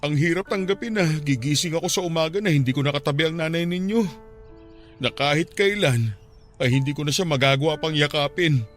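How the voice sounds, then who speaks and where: An actor playing a grieving older widowed father in a Filipino radio-style drama, tender and despairing, speaking in a halting, pleading, sentimental way. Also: fairly high pitch, soft background music, natural voice. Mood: sad, subdued.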